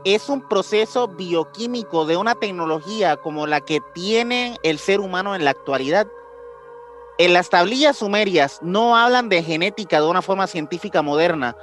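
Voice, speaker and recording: Mexican guy w a high voice telling stuff on a podcast, slangy n loose, bit of music behind. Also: fairly bright tone. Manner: informal, slangy, often searching for words. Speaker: podcast host. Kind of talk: casual podcast storytelling, part scripted.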